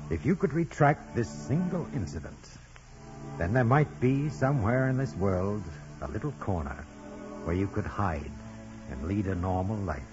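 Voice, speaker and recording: An actor playing a kindly, solemn parish priest in 1940s radio drama style, measured and gently paternal. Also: male voice, on the low side, expressive, natural voice. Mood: gentle, probing. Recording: dull vintage radio recording, music behind.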